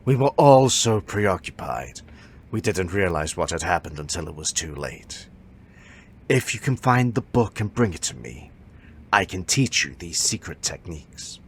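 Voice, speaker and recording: A man playing an elderly martial arts master in an audio drama, formal and measured, musing as he speaks. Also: low voice, very animated, slight background noise. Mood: grave, explaining.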